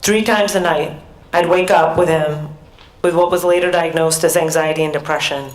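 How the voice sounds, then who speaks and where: A middle-aged American woman giving an earnest, measured personal talk as a conference speaker, with small restarts. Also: low-pitched, fairly even intonation. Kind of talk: personal talk at a research summit. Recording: echoey hall.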